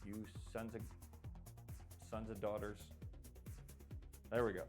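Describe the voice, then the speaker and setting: A man hosting a live stream game show, rambling and jokey with many restarts, his voice getting hoarse. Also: on the low side, level tone, takes it slowly, over music. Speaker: host.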